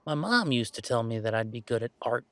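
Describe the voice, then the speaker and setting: A man playing a laid-back, self-deprecating young gamer in a roleplay audio drama, chatting in a halting, playfully exasperated way. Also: medium pitch, animated, no room sound, natural voice. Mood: casual, reflective. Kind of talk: roleplay audio drama, casual one-sided conversation.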